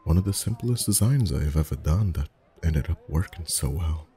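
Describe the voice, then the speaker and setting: A man playing a friendly, chatty, gently flirtatious festival face painter in a soft-spoken ASMR roleplay, with a gentle, rambling manner. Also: extremely low pitch, lively intonation, normal speed. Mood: warm, attentive. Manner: gentle, rambling, softly chuckling. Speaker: ASMR voice actor.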